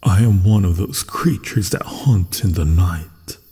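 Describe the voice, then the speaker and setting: A man's voice: low-pitched, unhurried, warm tone, slightly rough, heavily acted. A man voicing a hungry, possessive werewolf in an ASMR fantasy roleplay, savoring his words seductively and often slipping into whispers. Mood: hungry, teasing. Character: hungry, possessive male werewolf, menacing yet infatuated. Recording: long cavernous reverb.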